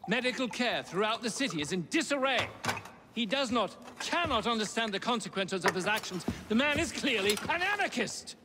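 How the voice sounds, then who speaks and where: Worked-up victorian british toff, high and emphatic, game cutscene, noisy background. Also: male voice, sing-song, normal speed. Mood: alarmed. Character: agitated, alarmist Victorian gentleman conspirator. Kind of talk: dramatic video game cutscene acting. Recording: reverberant room, noisy.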